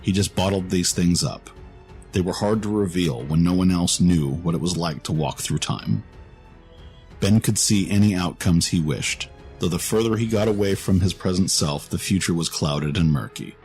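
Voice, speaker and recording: A man with a very low voice narrates a fantasy story. The delivery is scripted and dramatic, with a music bed underneath. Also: normal speed, darkish tone.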